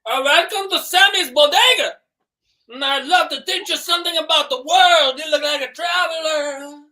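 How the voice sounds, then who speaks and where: A man's voice: very high-pitched, normal speed, sharp tone, recorded from a distance, full character voice. A man voicing an eager, worldly shopkeeper from Cuba in improvised comedy, instructive and salesmanlike.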